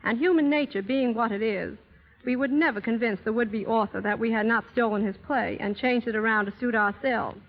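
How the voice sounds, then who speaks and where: A woman's voice: on the high side, brisk. A woman speaking as a guest in a scripted 1930s radio interview, formal and articulate. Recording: muffled old radio recording, long reverb.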